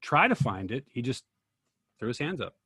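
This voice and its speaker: A man's voice: medium pitch, big pitch swings, normal speed, pushed and bright, slightly rough, dry recording, clean studio sound. A man speaking casually in a group discussion, as a host and actor telling stories.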